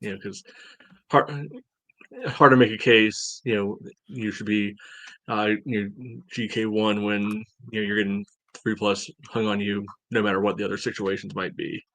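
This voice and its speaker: Male co-host, very even delivery, dry close sound, halting off-the-cuff sports analysis. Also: lowish voice, no echo, poor audio. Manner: halting, thinking aloud, heavy on "you know". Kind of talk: casual sports analysis chat.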